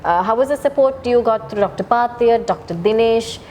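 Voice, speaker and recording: A woman's voice: fairly high pitch, moderate pace, darkish tone. A woman hosting an interview who asks questions and often answers with short "mm" and "okay". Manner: frequent short "mm" and "okay" backchannels. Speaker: interview host. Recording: roomy reverb, faint background noise.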